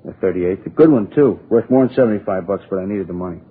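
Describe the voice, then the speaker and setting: A shifty petty criminal in a vintage radio crime play. He talks slangy and defensive in a slightly rough, lowish voice. The recording is narrow and tinny, like an old broadcast. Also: quick pace. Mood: cornered, resigned. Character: shifty small-time crook, wise guy under questioning. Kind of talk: 1950s radio crime drama acting.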